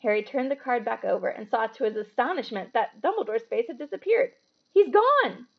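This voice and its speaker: High, creaky-voiced woman reading a story. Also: sing-song, fast talker, lo-fi sound. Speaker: read-aloud storyteller. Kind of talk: expressive children's book reading with casual asides.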